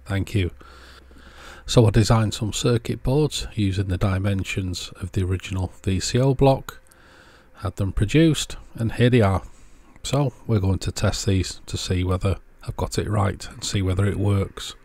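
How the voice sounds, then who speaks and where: A man's voice: fairly low pitch, moderate pace, darkish tone. A man presenting a casual how-to in a plain, understated, step-by-step way. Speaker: presenter of an electronics repair channel.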